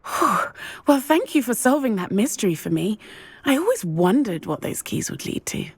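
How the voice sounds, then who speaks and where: A woman's voice: fairly high pitch, big pitch swings, moderate pace, slightly rough, no room sound, full character voice. A woman playing a cheerful, enthusiastic young woman in a video game, effusive and friendly. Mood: delighted. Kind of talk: video game character acting.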